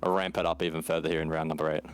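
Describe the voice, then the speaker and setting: A man's voice: rumbling low, flat delivery, very fast. A man giving live play-by-play commentary and banter on an esports match. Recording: clear game noise and gunfire behind. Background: video game gunshots and effects.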